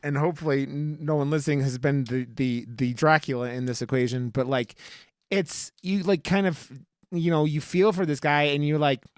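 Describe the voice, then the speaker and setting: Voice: medium pitch, normal speed, smooth, dry recording, studio quality. A podcast host in a casual film discussion, talking informally with plenty of swearing and slang.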